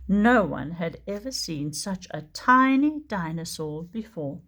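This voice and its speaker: A woman teacher reading a picture book aloud for young children in an expressive storytelling manner. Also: fairly low pitch, a little slow. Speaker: preschool teacher.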